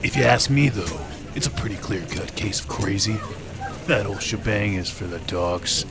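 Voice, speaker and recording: An actor playing a cynical, wisecracking 1920s police detective with a slangy, sardonic delivery in radio drama style. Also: male voice, lowish voice, normal speed, close to an everyday voice. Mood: dismissive, guarded. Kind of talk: old-time radio crime drama acting. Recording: crowd chatter behind the voice.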